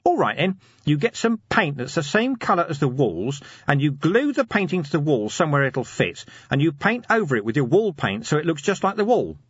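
A man's voice: on the high side, very animated, a little fast, hardly any pauses, breathy edge, no room sound, lo-fi sound, voice pushed up. A man voicing a scheming, cheeky city watchman in an audiobook story, rambling eagerly in colloquial speech.

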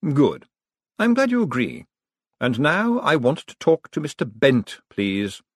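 A man voicing a dryly witty, commanding ruler in an audiobook, measured and coolly in control. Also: fairly high pitch, huge pitch swings, slightly rough, no echo, studio quality, pitched above the performer's own voice, heavily acted. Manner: measured, deliberate, authoritative.

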